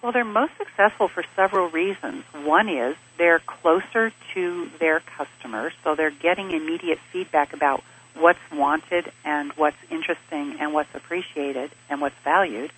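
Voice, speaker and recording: A woman who grew up in Switzerland, answering interview questions in a measured, explanatory way and stringing her points into lists. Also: low-pitched, a bit bright, a little hiss or hum, low-quality recording. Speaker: interview guest, business advisor. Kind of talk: thoughtful conversational interview answers.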